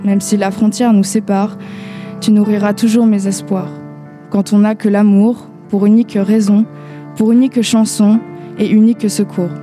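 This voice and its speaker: A woman delivers a scripted poetic piece in a very even, flat tone. Guitar music plays clearly behind her voice as she reads. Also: medium pitch, normal speed. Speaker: radio columnist. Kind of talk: scripted poetic monologue read aloud.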